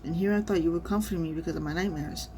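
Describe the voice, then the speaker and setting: A woman streamer reading a game story aloud and reacting casually, in an expressive manner. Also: on the low side, quick pace, slight background noise.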